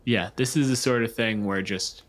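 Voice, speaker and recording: Low-voiced man running a tabletop game. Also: moderate pace, a bit bright. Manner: profane, conversational. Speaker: game master. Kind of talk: casual tabletop game narration.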